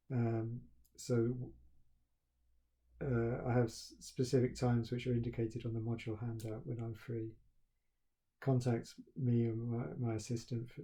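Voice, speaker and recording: A middle-aged man with a soft voice, a university professor speaking haltingly to his students at the start of term, with many pauses and restarts. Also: mid-range voice, poor audio.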